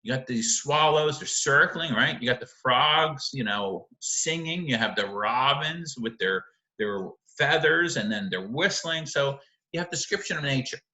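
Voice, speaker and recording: Animated male teacher in an echoey room. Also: medium pitch, unhurried. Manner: emphatic, checks in often with rhetorical questions. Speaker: literature teacher. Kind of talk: informal video lecture analysing a short story.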